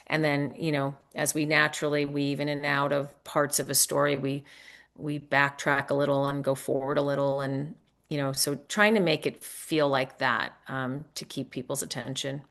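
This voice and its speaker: Low-pitched woman chatting in an interview. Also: gravelly. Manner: conversational, hesitant, thinking aloud. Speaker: author being interviewed. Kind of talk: casual interview chat.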